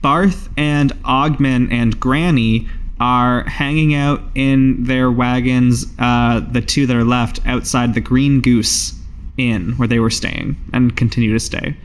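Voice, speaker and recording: A man's voice: mid-range voice, fairly even intonation, takes it slowly. A man narrating a tabletop roleplaying game as game master, in a casual, improvised, dryly humorous way.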